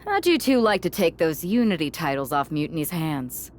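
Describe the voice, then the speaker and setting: A slightly low-voiced team leader rallies allies in a wrestling game cutscene. Faint noise sits behind the scripted lines. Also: female voice, very animated, moderate pace. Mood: rallying, wry.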